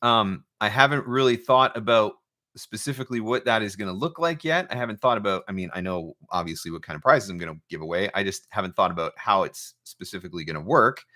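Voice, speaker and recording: A man's voice: on the low side, fast, bright, forceful tone. A man hosting a casual, off-the-cuff livestream as a coach, chatting informally with a playful, teasing streak. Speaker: livestream host and voiceover marketing coach. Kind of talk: casual live chat, off the cuff.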